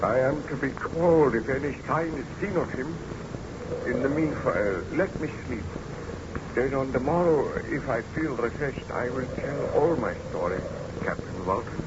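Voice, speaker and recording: An actor playing an obsessed, weary scientist in a theatrical, deliberate old-time radio drama style, on a muffled, noisy recording. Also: male voice, mid-range voice, animated, close to an everyday voice. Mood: weary, grave. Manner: theatrical, deliberate, brooding narration.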